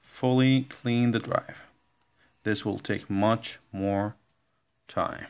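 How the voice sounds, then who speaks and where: Man, low-pitched, creaky voice, halting delivery, informal tech tutorial. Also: fairly even intonation. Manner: halting, instructional, reads out on-screen text. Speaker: tutorial presenter. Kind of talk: informal step-by-step computer tutorial. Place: indoor room, filming a laptop screen.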